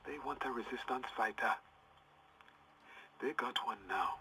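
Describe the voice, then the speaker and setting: An actor playing an embittered, proud young working-class welder, confiding an audio diary in a halting way that can swell into defiance. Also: male voice, mid-range voice, pushed and bright, a little hiss or hum. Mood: defiant, fervent. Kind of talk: in-character audio diary monologue.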